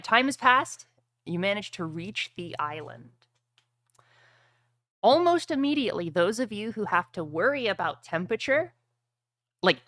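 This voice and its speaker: A woman's voice: on the low side, pushed and bright, no echo, clean studio sound. A woman running a tabletop roleplay game as game master, profane and teasing with her players.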